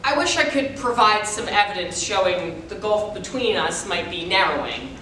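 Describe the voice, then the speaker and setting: A woman's voice: animated, normal speed, pushed and bright. A woman performing rehearsed dramatic narration as a reader's theater performer. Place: large echoey room, distant microphone. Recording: very echoey hall, distant mic, faint rumble.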